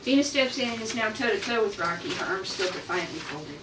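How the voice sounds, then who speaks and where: A woman's voice: medium pitch, animated, a little fast. A woman reading out screenplay stage directions as narrator at a table read. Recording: echoey room, distant mic, clear noise.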